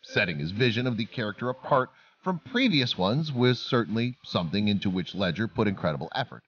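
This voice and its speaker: Male narrator, scripted documentary read, lively swooping intonation, slightly dull lo-fi sound. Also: on the low side, a little fast, breathy edge.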